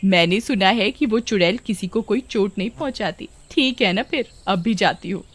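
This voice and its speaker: A woman voicing expressive, exclamatory village women in a children's cartoon story. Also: mid-range voice, normal speed, natural voice. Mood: eager, nervous. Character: village women, eager, chatty and indignant.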